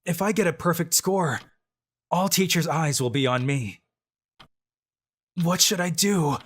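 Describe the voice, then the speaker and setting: A voice actor playing a low-key, secretly brilliant male student who narrates a dubbed manga story matter-of-factly, over background music. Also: a little slow, slightly rough. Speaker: narrator and lead of a manga dub.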